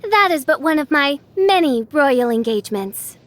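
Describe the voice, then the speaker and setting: A woman's voice: high voice, lively intonation, moderate pace. A woman playing a grandiose teenage girl in a video game who poses as an otherworldly princess, speaking in theatrical, archaic, flowery language. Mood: haughty, grand. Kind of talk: video game character voice acting.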